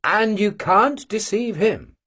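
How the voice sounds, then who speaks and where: A man voicing a playful, light-hearted young gentleman. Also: high-pitched, lively intonation, takes it slowly, slightly rough, voice pushed up, heavily acted.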